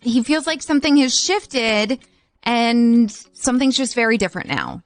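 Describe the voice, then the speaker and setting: Female radio host, higher register, informal and sympathetic call-in chat, very dry recording. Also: sing-song, moderate pace, dry recording.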